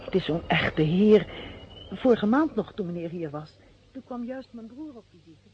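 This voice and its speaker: Hesitant, wary housewife, dull recording. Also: female voice, mid-range voice, expressive, normal speed, slightly rough. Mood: hesitant, respectful. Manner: hesitant, repeating her words. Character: wary, polite housewife, flustered wife of a detainee. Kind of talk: radio play acting. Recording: dull, noisy old radio recording.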